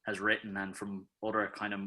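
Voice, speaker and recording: Irish musician on a zoom call, low bright voice, just rambling along. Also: male voice, a little fast. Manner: relaxed, rambling. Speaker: band member. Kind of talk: casual interview chat. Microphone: video call.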